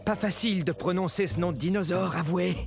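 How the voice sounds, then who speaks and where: A man's voice: high voice, a little fast, gravelly, music in the background. A man voicing a proud, secretive little meat-eating dinosaur in a children's cartoon, confiding in a dramatic, self-important way. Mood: secretive, wary. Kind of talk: animated children's educational cartoon monologue.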